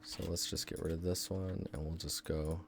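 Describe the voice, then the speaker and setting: A man's voice: sits low, little pitch movement, moderate pace, creaky, slightly rough. A young man from Seattle giving a laid-back live-stream tutorial at his desk, thinking aloud and answering chat as he works. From Seattle, Washington State, US. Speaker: game environment artist streaming. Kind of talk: casual live-stream tutorial, chatting with viewers.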